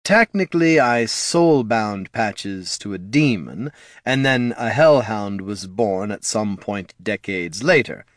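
A haughty undead wizard, performed by a male narrator, explains himself in pedantic, self-justifying phrases. His delivery swings widely in pitch, as an aggrieved fantasy storybook villain, in a dry recording. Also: animated, slow pace, no echo. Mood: defensive, pedantic.

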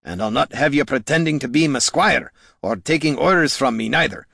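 A man voicing a blunt, stubborn, warm-hearted veteran fantasy hero with emphatic, rustic dialect speech. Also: mid-range voice, expressive, fairly quick, creaky, no room sound, clean studio sound, voice pushed up. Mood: firm, earnest.

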